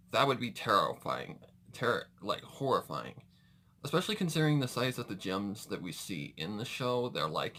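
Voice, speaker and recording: A man's voice: on the low side, pushed and bright, slight background noise. A man hosting a reaction video with casual, rambling, exclamatory commentary.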